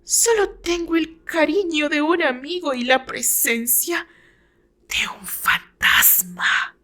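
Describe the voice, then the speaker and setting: A woman voicing a fragile, tormented, tearful woman, bitter and resigned, with halting, breathy theatrical delivery in an audio novel. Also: sits high, huge pitch swings, bright, forceful tone, pitched above the performer's own voice, exaggerated voice. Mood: anguished, bitter. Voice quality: thin, whispery voice at moments.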